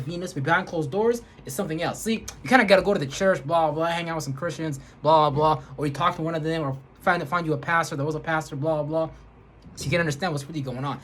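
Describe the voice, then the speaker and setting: A man's voice: a little high, fairly bright tone, a little hiss or hum. A man vlogging an emphatic, rambling spiritual rant, swearing heavily and repeating words for emphasis. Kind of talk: profane spiritual rant to camera.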